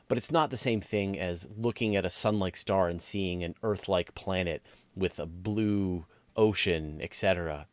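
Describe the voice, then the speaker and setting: A man's voice: fairly low pitch, no echo. A man hosting a conversational science interview, phrasing his points with emphasis. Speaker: interview host.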